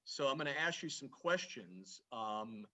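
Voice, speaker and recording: A man hosting a formal interview, measured and polished as he introduces and questions a guest. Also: medium pitch, lively intonation, bright, forceful tone.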